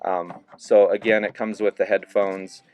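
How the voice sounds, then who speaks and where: A man giving a relaxed, casual hands-on review of a handheld radio, narrating each step with plenty of fillers as he works the controls. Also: fairly low pitch, measured pace, soft background music. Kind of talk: casual hands-on product demo.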